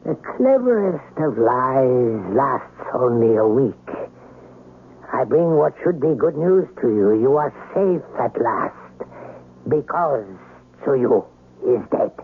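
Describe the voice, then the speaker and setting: An actor playing a grave, formal doctor of old Japan, measured and admonishing, in a radio drama. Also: male voice, medium pitch, animated, a little hiss or hum. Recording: phone-like old radio recording.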